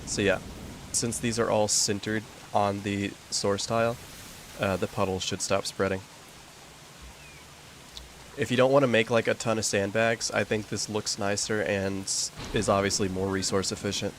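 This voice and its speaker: A young man with a slightly low voice chats loosely while he plays, rambling and dropping in plenty of filler words. A steady hiss sits clearly behind him. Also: normal speed. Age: young adult. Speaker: gaming streamer. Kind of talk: casual chat while playing a game.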